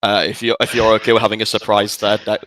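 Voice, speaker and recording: Male guest player, lowish pitch, crisp bright tone, joking and self-deprecating. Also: flat delivery, fast talker, no echo. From United Kingdom. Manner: self-mocking, laughs often. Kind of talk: casual game-night banter.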